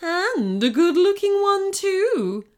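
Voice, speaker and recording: A woman's voice: a little high, sing-song, slow pace, a bit bright, soft background music, voice pushed up, exaggerated voice. A woman voicing a cruel, gluttonous goblin queen in a children's story, with a shrieking, cackling, gloating delivery. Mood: smug menace. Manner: shrieking, cackling, gleeful menace.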